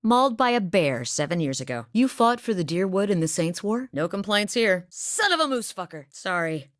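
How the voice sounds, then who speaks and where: An actress voicing a steady, blunt woman hunter from a far northern village, with a matter-of-fact manner and dry humor, in a studio game recording. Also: mid-range voice, normal speed, dry recording, close to an everyday voice. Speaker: game character voice lines. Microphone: close studio mic.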